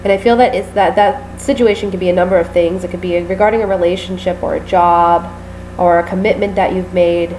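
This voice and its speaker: A woman's voice: fairly low pitch, fairly even intonation, a little fast, darkish tone, slight background noise, low-quality recording. A woman with a sinus infection giving a casual tarot reading to camera, sounding stuffy and nasal, apologetic and chatty with many fillers. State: sick with a sinus infection, sniffly. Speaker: tarot reader.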